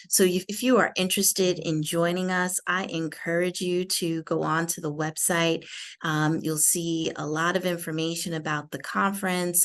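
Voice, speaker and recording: Lower-voiced middle-aged woman presenting. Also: fairly bright tone. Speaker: association co-chair. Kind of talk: informal webinar presentation. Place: small indoor room, on a video call.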